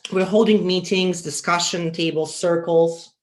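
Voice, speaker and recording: A man giving an academic conference presentation, earnest and measured, with frequent ums and uhs. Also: high-pitched, steady tone.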